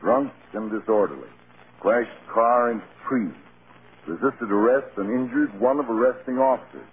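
An actor playing a grave, reproving court judge with a clipped, formal delivery in 1930s radio style. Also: male voice, mid-range voice, lively intonation, moderate pace, lightly acted. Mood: stern. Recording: scratchy, tinny 1930s radio broadcast.

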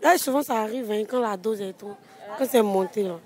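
A woman's voice: medium pitch, a little fast, darkish tone. A young woman answering a street interviewer's mic outdoors at a market, exclaiming and talking loosely. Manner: giggly, exclaiming, loose talk. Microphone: handheld mic held by interviewer.

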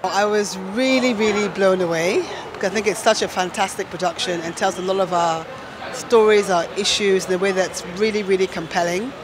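A woman's voice: medium pitch, fairly quick. A middle-aged woman giving an on-camera interview as a theatre guest at an event. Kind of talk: on-camera vox-pop interview. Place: event venue by a backdrop. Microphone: handheld reporter microphone. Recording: clear background noise at busy event.

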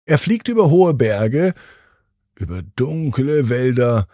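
A man hosting a children's podcast, narrating a bedtime story in an expressive, gentle way. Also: mid-range voice, dry recording, studio quality.

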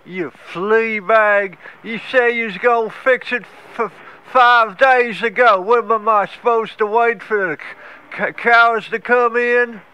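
A man's voice: very high voice, steady tone, a little slow, clear, resonant tone, lo-fi sound. A man putting on an angry, stammering rural hillbilly who hurls folksy insults over the phone. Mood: indignant. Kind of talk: prank phone call.

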